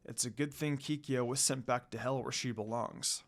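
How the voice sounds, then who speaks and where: A man acts out a stern demon older brother in a fan story. He is composed and protective, speaking in a lowered, measured voice. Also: fairly even intonation, lightly acted. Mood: calm.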